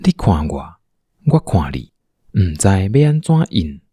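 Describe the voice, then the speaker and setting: A man's voice: deep voice, big pitch swings, measured pace, warm tone, breathy edge, no echo, studio quality. A man with a Taiwanese accent narrating a scripted audio drama as a storyteller.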